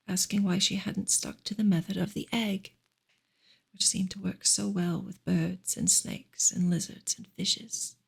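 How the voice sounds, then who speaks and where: A woman reading a novel aloud as soft-spoken ASMR, in a hushed, breathy voice that often drops to a whisper. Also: mid-range voice.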